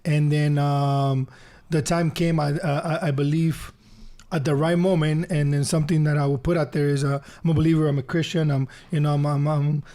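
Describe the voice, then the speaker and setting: A man's voice: on the high side, level tone, normal speed, dark tone. A man, a podcast guest telling his life story in an earnest, conversational way, often repeating phrases for emphasis. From Honduras. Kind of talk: casual storytelling interview.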